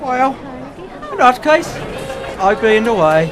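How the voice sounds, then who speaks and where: An actor playing a wry, boozy, reluctant older sidekick in theatrical 1940s radio style, drawling out wisecracks. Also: male voice, very high-pitched, very animated, measured pace, a crowd in the background, exaggerated voice. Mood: reluctant, fretful. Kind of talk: 1940s radio character acting. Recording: vintage 1940s radio recording with music.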